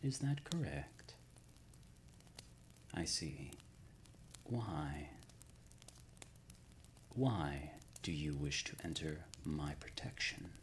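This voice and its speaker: A man playing a courteous, shrewd, ancient supernatural lord in an ASMR roleplay, speaking softly and formally, often near a whisper. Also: rumbling low, lively intonation, a little hiss or hum, exaggerated voice. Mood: stern, measured. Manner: formal, measured, erudite wording with deliberate pauses. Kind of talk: ASMR roleplay, intimate scripted monologue.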